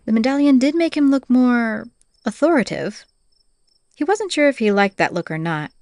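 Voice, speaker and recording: A woman's voice: fairly high pitch, expressive, normal speed, darkish tone, no echo, clean studio sound. A woman reading scripted fantasy audiobook narration as the storyteller.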